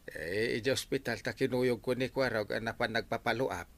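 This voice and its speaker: A man with an Ilocano accent and a gravelly voice, playing a village captain in a comedy drama, admonishing people with drawn-out, hesitant interjections. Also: mid-range voice, fairly bright tone, slight background noise, lightly acted. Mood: coaxing. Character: village captain, mediating and admonishing comic official.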